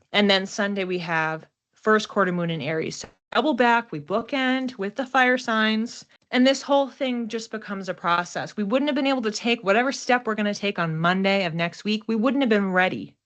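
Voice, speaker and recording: A woman's voice: on the low side, fairly bright tone, muffled recording. A woman hosting an oracle card reading in an informal, conversational way, often repeating and listing words for emphasis. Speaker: host, oracle card reader.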